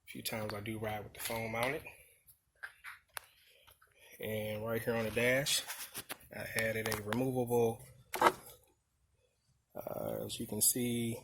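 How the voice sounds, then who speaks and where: A man with a fairly low voice gives a casual, unscripted gear walkthrough. His delivery stays very flat and level as he explains things informally. Clear background noise runs behind him on the handheld video recording. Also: slow pace, long pauses. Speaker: motorcycle vlogger showing his gear.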